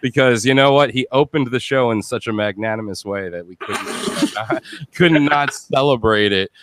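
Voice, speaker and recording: A man hosting a live post-show chat with an emphatic, excitable delivery. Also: medium pitch, normal speed, noisy background. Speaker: host.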